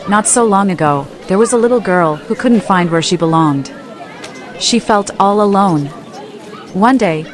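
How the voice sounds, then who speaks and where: A woman reading a first-person story aloud, soft and wistful, with music behind her. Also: fairly low pitch. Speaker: audiobook narrator. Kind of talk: scripted first-person narration.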